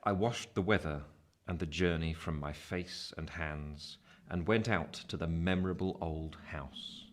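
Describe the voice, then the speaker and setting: An actor narrating in the first person as an earnest English gentleman looking back on his life in a radio play. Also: low-pitched, measured pace, few pauses. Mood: sober recollection.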